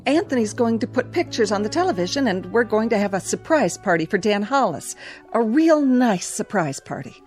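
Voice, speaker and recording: A woman's voice: medium pitch, big pitch swings, fairly quick, darkish tone, lightly acted. A small-town housewife, played by a woman in a radio drama. She chats in a folksy, carefully pleasant way with widely moving intonation. Faint music plays behind. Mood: forced cheer. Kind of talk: audio drama acting.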